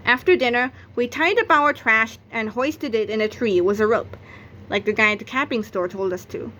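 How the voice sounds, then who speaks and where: Female narrator for a children's comic novel read-aloud, voice a little high, recorded with some background noise. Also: moderate pace, bright, forceful tone, rough recording. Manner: acts out sound effects and exclamations. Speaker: book narrator.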